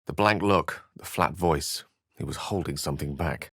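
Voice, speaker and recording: A genteel, wry wizard companion in a fantasy video game. His voice is low and his phrasing is measured, articulate and a little theatrical. The recording is very dry, with no room around the voice. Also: vocal fry, fairly bright tone, slightly rough, no room sound, full character voice. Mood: grave narration. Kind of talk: video game voice acting, narration and dialogue.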